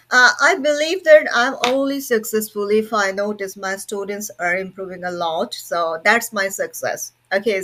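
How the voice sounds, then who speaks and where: A woman's voice: mid-range voice, a bit bright, rough recording. Encouraging teacher woman. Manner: encouraging, explanatory, says "so" and "like" often. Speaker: English pronunciation teacher. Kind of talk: teacher interviewing a student.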